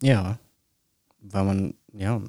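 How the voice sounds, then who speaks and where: Guy, low and calm, measured, bit of dry humor, dry close mic. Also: no room sound. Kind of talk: casual chat.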